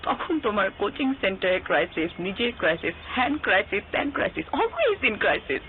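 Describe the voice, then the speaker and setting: A woman's voice: medium pitch, very animated, brisk, close to an everyday voice. An actress playing a hurt, accusing young girlfriend in a TV drama, with a Bangladeshi accent, emotional and sarcastic, mixing in English. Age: young adult. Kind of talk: TV drama acting. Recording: thin phone-like sound, faint noise.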